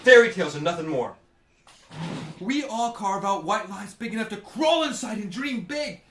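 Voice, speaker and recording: Satirical radio play: male actor as young lovesick writer, high, theatrical, unstable, slightly distant in an echoey room. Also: expressive, normal speed, a little hiss or hum. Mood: brooding, theatrical. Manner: melodramatic, swinging from hushed to shrill.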